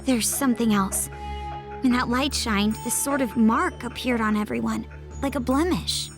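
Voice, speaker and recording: A woman voices a young girl ninja from an anime game, very high in pitch. She sounds serious, observant and inquisitive, with light music behind her. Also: moderate pace, lightly acted. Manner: questioning, observant. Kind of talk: English anime game dub acting.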